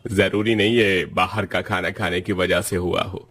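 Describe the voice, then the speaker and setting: A man voicing a calm, grave family doctor who speaks in a measured, deliberate way in a story. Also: sits low.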